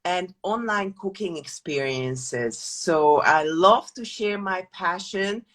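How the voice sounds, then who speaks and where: A woman's voice: lowish voice, expressive, takes it slowly, fairly bright tone. A woman from Hungary, a guest in a casual interview, talking with many hesitant fillers and restarts. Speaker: guest, food tour company founder.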